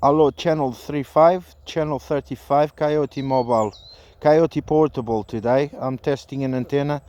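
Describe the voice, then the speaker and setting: A man making halting, repetitive radio calls and casual commentary during an outdoor antenna test. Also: medium pitch, steady tone, darkish tone, lo-fi sound. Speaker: amateur CB radio operator testing an antenna. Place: outdoors in a park.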